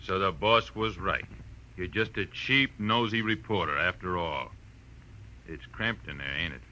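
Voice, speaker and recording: A man's voice: sits low, measured pace, sharp tone, slight background noise, full character voice, rough recording. An actor playing a huge, menacing thug with slangy, taunting tough-guy talk in an old radio crime drama. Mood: taunting menace. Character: huge menacing male thug in a sharkskin suit.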